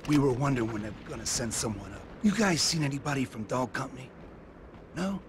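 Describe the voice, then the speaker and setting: An actor playing a matter-of-fact American soldier at a forward position in a video game cutscene. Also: male voice, mid-range voice, fast talker, noisy background, lightly acted.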